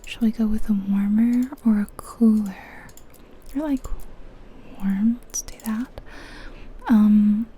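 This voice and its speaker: A woman whispering softly and breathily in an ASMR style, gently musing aloud as she comments on a video game. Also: fairly high pitch, a little hiss or hum.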